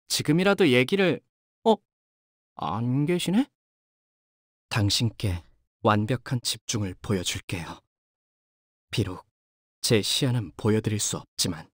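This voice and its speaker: An actor as a timid young man fighter, expressive pitch. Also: unhurried, pauses often, dry recording, studio quality, lightly acted. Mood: cold, focused. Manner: hesitant, soft-spoken politeness. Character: timid, polite young male fighter with cold focus. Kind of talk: Korean video game character voice lines.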